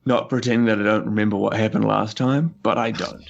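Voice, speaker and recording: A man's voice: fairly low pitch, steady tone, a little fast, gravelly. A man, teasing and bantering casually as a podcast player over a lo-fi connection. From New Zealand.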